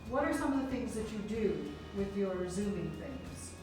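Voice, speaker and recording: A woman's voice: medium pitch, animated, normal speed. Enthusiastic woman host interviewing, distant echoey mic with music behind. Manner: chatty, enthusiastic. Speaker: interviewer and producer. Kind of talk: casual interview.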